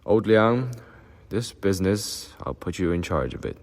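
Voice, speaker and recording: A man's voice: deep voice, animated, measured pace, gravelly, long reverb tail, voice pushed up, exaggerated voice. A man voicing a pompous, officious male brigade leader who bellows his announcements. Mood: angry.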